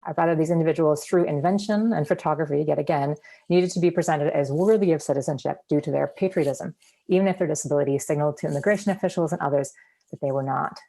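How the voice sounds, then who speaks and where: A woman presenting a seminar paper, reading in a measured way with frequent ums and uhs. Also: sits low, vocal fry, dark tone. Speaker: academic presenter.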